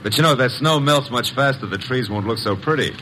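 An actor playing a wisecracking, brash detective's assistant in an old-time radio drama, sardonic and breezy. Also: male voice, mid-range voice, fast, pushed and bright, natural voice. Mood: breezy teasing. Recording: noisy vintage radio recording.

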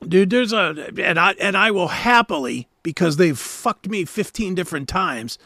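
Man, middle-aged, American, high-pitched voice, close dry sound, blunt opinionated talk show banter. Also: lively intonation, moderate pace, pushed and bright, no echo. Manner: blunt, swearing, ranting. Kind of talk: casual, opinionated chat.

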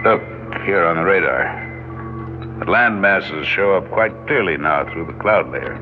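An actor playing an older, cautious, rational space scientist in old-time radio drama style, measured and deliberate. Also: male voice, sits low, lively intonation. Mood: calm, wry. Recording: dull old radio, music behind.

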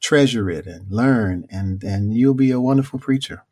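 A man, a preacher and author, answering interview questions in a reflective, unhurried way with many fillers and restarts; his voice is neither booming nor deep. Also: mellow.

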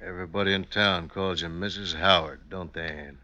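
A menacing Old West outlaw for a radio western. His voice is hard-edged and on the low side, with a folksy, commanding way of clipping his words and a playful streak. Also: steady tone, a little fast, bright, forceful tone, highs cut off. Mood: affectionate, folksy. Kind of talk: classic radio western acting.

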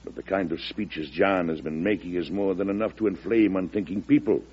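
Casting: aging head of state, low and husky, firm and proud, vintage radio drama. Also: male voice, a little fast. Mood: firm, earnest. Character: aging, firm, proud American president, calm under threat. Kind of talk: old-time radio drama acting. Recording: dull, lo-fi old radio recording.

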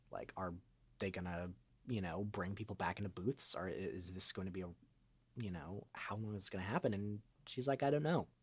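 Man, lowish pitch, dry close sound, halting, rambling, earnest, reflective interview talk. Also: dry recording. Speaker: guest voice actor.

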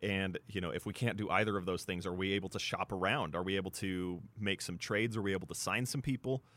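A man's voice: low voice, fairly even intonation, fast talker, fairly bright tone. A man with an American accent co-hosting sports radio, talking casually and hesitantly with lots of "you know" asides. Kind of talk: casual chat.

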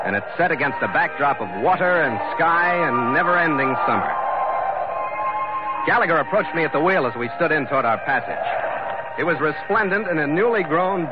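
An actor playing a steady, dry-humored sea captain who also narrates the story in a calm, clipped manner, as in 1950s radio drama. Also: male voice, fairly high pitch, lightly acted. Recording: dull old radio broadcast with music.

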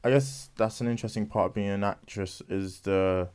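A man's voice: lowish voice, steady tone, normal speed, a little mellow, clean tone. A man hosting a casual interview, asking laid-back questions and murmuring agreement. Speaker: interview host.

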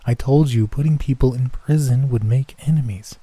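A man voicing a bitter dead wife in a horror story, soft-spoken, deliberate and reproachful. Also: medium pitch, husky, no room sound, pitched above the performer's own voice, close to an everyday voice. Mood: bitter, accusing. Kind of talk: horror story narration, character voice.

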